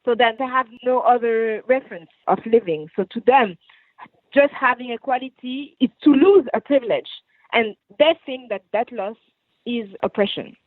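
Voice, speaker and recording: A woman's voice: fairly high pitch, normal speed, no echo. A woman from France with a French accent, giving earnest, explanatory answers in a radio interview, often rephrasing as she goes. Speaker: guest writer and activist. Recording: tinny phone line.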